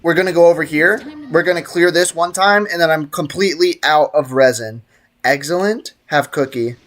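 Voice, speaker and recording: A man's voice: on the high side, moderate pace, fairly bright tone. A man hosting a casual gaming livestream, talking to his chat in playful slang and prone to exaggerated outbursts. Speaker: live streamer hosting a gaming stream. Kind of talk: casual gaming livestream chat, reading viewer comments aloud.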